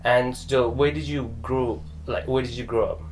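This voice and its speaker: A man's voice: medium pitch, steady tone, normal speed, a little hiss or hum, poor audio. Man hosting a podcast interview. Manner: friendly, mixes in Nepali phrases. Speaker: podcast host. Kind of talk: scripted intro then casual interview.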